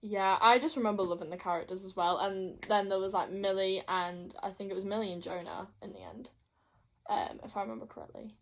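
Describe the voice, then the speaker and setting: A woman's voice: mid-range voice, fairly even intonation, fairly quick, creaky. A young woman chatting casually as a book vlogger, emphatic and gushing, often repeating herself. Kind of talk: casual chat to camera.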